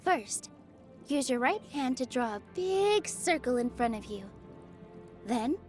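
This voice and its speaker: A young woman dancer from a video game, with a very high, sweet voice. The temper is gentle, sunny and earnest, always softly encouraging. Faint music plays underneath. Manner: sweet, soft-hearted, bubbly, coaxing. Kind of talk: scripted video game character lines.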